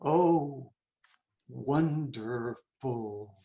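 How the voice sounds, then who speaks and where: Guy acting anguished young shakespeare prince, really drawn-out halting delivery, gravelly edge, echoey muffled video call. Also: medium pitch, warm tone. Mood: excited, guarded. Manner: declamatory, halting, dwelling on words. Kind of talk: Shakespearean dramatic reading. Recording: roomy, echoing, muffled video call audio.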